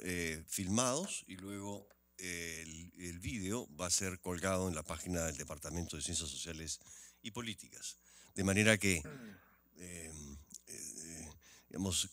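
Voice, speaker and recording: A man's voice: low-pitched, a bit bright. An older man moderating a university book presentation, speaking formally at a podium mic in a lecture hall. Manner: measured formal speech with fillers. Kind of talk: formal event introduction.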